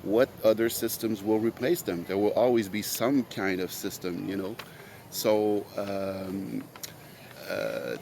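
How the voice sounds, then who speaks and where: A man's voice: fairly low pitch, a little mellow, a little hiss or hum. A man whose first language is French, hosting his own podcast in an earnest, rambling solo monologue full of fillers. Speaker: podcast host.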